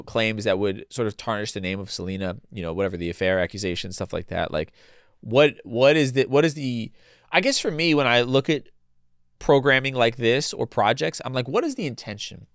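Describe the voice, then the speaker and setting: A man's voice: medium pitch, brisk, clear, resonant tone, no echo, studio quality. Blunt man with a stuffy cold. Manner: blunt and casual, swears freely, many restarts. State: congested with a cold, losing his voice. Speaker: podcast host. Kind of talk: solo opinion commentary.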